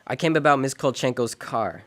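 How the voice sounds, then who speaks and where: An actor playing a cool, wisecracking private detective in a radio noir drama, sardonic and slangy. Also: male voice, medium pitch, lively intonation, a little fast, close to an everyday voice. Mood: sardonic, businesslike.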